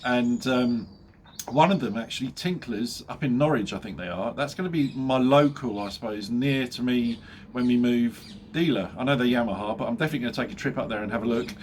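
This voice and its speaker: A middle-aged Englishman talks to his viewers in an easy, rambling way, and he sounds nasal and stuffed up from a cold. His voice sits at an ordinary middle pitch, and he breaks into chuckles at his own jokes. A faint hiss of background noise runs underneath. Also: brisk. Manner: chatty, jokey, laughs at his own jokes, many fillers. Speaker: motorbike vlogger. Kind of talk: casual chat to camera.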